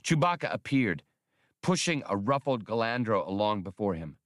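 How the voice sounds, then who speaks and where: A man's voice: fairly low pitch, big pitch swings, normal speed, fairly bright tone, dry recording, clean studio sound. A man reading an adventure novel as a dramatic scripted audiobook narration.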